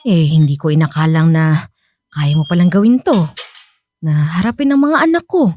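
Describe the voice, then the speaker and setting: A woman's voice: low-pitched, lively intonation, normal speed, dark tone, faint music behind. A woman acting in a radio drama as an affectionate, bashful older mother in love. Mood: grateful, hesitant.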